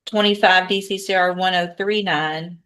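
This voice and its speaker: An American woman presiding as a judge, with a slightly low voice. She speaks in a firm, plain-spoken and formal way, as in courtroom proceedings. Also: steady tone, normal speed, fairly bright tone. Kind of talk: courtroom sentencing and docket proceedings.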